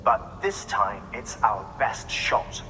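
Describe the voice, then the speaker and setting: An actor playing a courteous, cerebral scientist in a video game, speaking in a deliberate, articulate way. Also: male voice, fairly low pitch, sing-song, pushed and bright, slight background noise, exaggerated voice. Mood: measured, explanatory. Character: cerebral, courteous male scientist briefing a courier. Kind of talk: dramatic video game dialogue. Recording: long reverberant hall echo.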